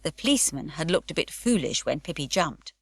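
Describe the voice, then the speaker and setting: A woman narrating a children's storybook audiobook in a warm, lively storytelling way. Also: low voice, brisk, gravelly, breathy edge, no echo.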